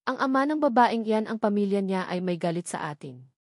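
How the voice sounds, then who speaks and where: Woman voicing rich scheming middle-aged mother, Filipino accent, audio drama, grave, insistent, measured, dry sound. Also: medium pitch, expressive, a little mellow, dry recording, lightly acted. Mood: gently insistent.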